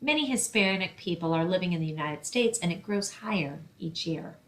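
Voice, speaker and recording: A woman's voice: lowish voice, expressive, brisk, fairly bright tone. A woman reading a picture book aloud and explaining things simply for children, as a librarian hosting story time.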